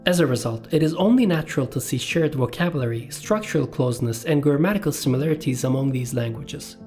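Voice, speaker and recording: A man from Turkey, a native Turkish speaker, giving a scripted educational narration in a measured, list-reading way. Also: medium pitch, expressive, a little mellow, soft background music. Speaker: language video host.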